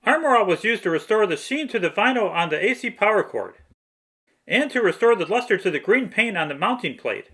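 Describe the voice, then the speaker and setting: Higher-pitched man narrating instructions. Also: big pitch swings, fairly quick, sharp tone. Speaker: narrator of a restoration tutorial. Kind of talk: scripted narration, step-by-step instruction.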